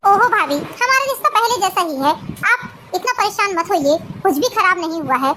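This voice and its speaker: A very high voice with clear background noise, a woman playing a devoted young sister-in-law in a cartoon family drama. She is earnest and emotional, caring and reassuring. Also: lively intonation, normal speed. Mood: comforting. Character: caring, loyal young sister-in-law, hurt yet comforting.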